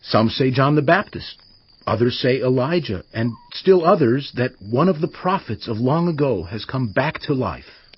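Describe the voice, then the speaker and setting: An actor playing an earnest male disciple answering deliberately in a dramatized audio Bible. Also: medium pitch, animated, husky, no room sound. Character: male disciple, earnest, reporting to his teacher. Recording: dull sound with music behind. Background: music.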